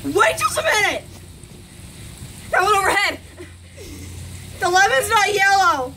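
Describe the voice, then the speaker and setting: Very high-pitched woman reading a storybook dramatically. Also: very animated, a little slow, bright, forceful tone, slight background noise. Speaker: reader. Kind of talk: dramatic picture-book read-aloud. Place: outdoors on a garden bench.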